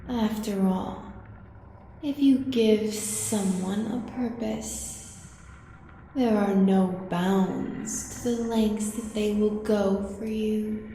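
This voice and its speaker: A woman voicing an ancient, cruel dark elven fiend who devours souls, savoring each word with long deliberate pauses in a monster audio roleplay. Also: medium pitch, steady tone. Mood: contemptuous, savoring. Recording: cavernous echo and long reverb, faint noise.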